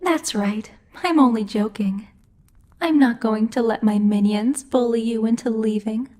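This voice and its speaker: Woman to play imperious female demon lord for ASMR roleplay: soft-edged mellow tone, higher register, measured and teasing, protective boss. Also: a little hiss or hum, natural voice.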